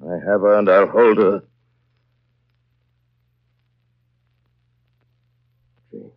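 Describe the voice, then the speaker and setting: An actor playing an imperious, tormented gentleman in love, with intense theatrical delivery in a 1930s radio drama. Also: low voice, normal speed. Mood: ardent, intense. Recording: muffled vintage 1930s radio recording.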